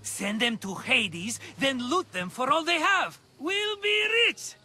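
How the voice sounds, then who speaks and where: An actor playing a scheming, flattering, tight-fisted merchant in a video game, with a theatrical, wheedling manner. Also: male voice, very high voice, takes it slowly, soft background music, full character voice. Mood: excited, conspiratorial. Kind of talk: video game cutscene acting.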